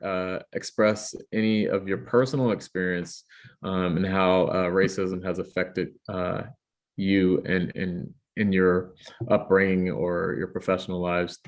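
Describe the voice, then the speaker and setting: A man moderating a community panel, polite and hesitant, with many fillers. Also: low voice.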